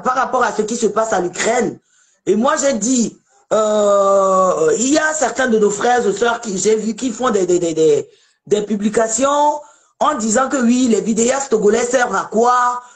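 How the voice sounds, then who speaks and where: A woman's voice: fairly high pitch, expressive, a little slow. A woman from Togo hosting a casual live debate, chatty and emphatic, often repeating herself. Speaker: live show host.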